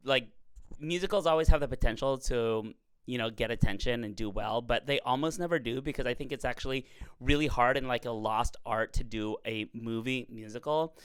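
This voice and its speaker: A man with a cold, his voice slightly raspy and hoarse yet still smooth and clean. He chats in a campy, lively way with quick asides. The sound is dry and close. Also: medium pitch, no echo. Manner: chatty, campy, fast asides. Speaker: podcast host. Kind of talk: casual chat.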